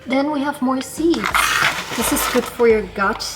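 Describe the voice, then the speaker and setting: A woman's voice: high voice, a little slow, poor audio. Chatty young German woman cooking. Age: young adult. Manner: chatty, rambling, apologetic about talking too much. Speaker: vlogger. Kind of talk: casual vlog chat while cooking. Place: hostel kitchen. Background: background music.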